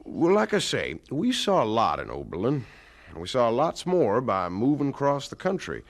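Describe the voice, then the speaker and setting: A man's voice: medium pitch, huge pitch swings, moderate pace. An actor in an audio drama playing a kindly, talkative, plain-spoken rural foreman with a folksy manner. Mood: chatty, genial. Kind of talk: dramatized radio play acting.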